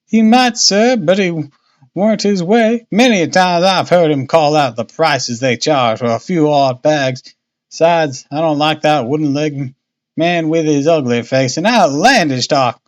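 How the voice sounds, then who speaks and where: Storybook boatman's wife male reader acting, talkative and fretful, colloquial, pitched well up and loud. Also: unhurried, close to an everyday voice. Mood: chatty, flustered. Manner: chattering, exclamatory, colloquial. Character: harried, talkative working-class Victorian boatman's wife, anxious.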